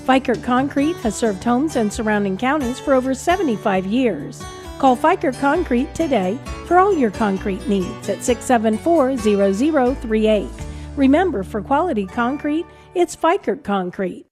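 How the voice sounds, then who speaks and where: Female announcer, fairly high voice, scripted ad read, faint music underneath. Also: lively intonation, moderate pace, a little mellow. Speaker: radio commercial announcer. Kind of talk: scripted ad narration. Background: faint music bed.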